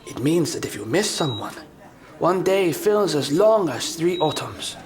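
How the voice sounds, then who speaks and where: A stoic inspector from Hong Kong in an audio drama. He speaks slowly and earnestly in a fairly high male voice, with music behind him. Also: big pitch swings. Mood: calm, fond. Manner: measured, earnest. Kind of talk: full-cast audio drama acting.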